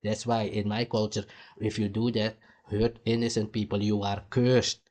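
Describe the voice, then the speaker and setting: A man's voice: lowish voice, flat delivery, normal speed, darkish tone. A man from Suriname, hosting a heated, blunt and profane reaction commentary. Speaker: commentary host.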